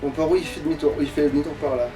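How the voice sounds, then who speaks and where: A man's voice: fairly high pitch, steady tone, rapid-fire, far from the mic, rough recording. A man in casual gaming chat, grumbling and swearing crudely as he plays. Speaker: gamer playing on a let's play.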